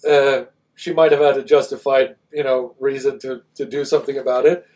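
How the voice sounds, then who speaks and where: Male co-host, middle-aged, Swedish-English native bilingual, medium pitch, unscripted live discussion. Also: little pitch movement, a little fast, darkish tone. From Sweden. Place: small indoor room, seated.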